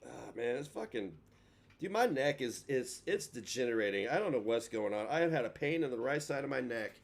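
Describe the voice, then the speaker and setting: A man's voice: medium pitch, animated, brisk, vocal fry, a little hiss or hum. Rambling man on a livestream. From Kentucky. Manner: rambling and self-mocking, trips over his words. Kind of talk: casual livestream chat.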